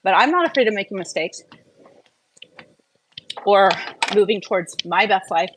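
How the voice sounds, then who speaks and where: A woman's voice: mid-range voice, expressive, moderate pace. Woman just rambling to her followers, blunt opinions, casual chat, bit of background noise. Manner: candid, opinionated, rambling with tangents. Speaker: wellness vlogger.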